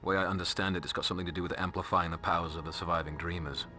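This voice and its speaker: A man's voice: deep voice, fairly even intonation, very fast, sharp tone, soft background music. An actor playing a sardonic, cocky male vampire with a British accent, speaking in a sneering, slangy, mocking way. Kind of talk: video game voice acting.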